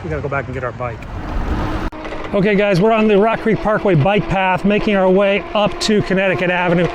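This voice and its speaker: A man giving casual on-the-go narration as a vlogger, outdoors in the city on a handheld camera. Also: high-pitched. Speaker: vlogger filming street incidents. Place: outdoors on city streets and a bike path. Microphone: handheld pocket camera. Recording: noisy outdoor city street with traffic. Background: traffic and city noise.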